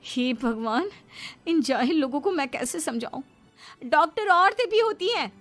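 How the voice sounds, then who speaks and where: A woman's voice: high voice, animated, normal speed, fairly bright tone, faint music behind, pitched above the performer's own voice. A woman voicing a defiant, educated young pregnant wife who pleads and insists indignantly in a cartoon drama.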